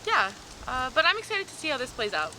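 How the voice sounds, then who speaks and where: A skeptical young woman on a date played by an actress speaks high and with lively swings of pitch. She is casual and comic, easily exasperated, recorded in noisy surroundings. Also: quick pace. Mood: puzzled, curious. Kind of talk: acted comedy sketch dialogue. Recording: noisy outdoor location sound.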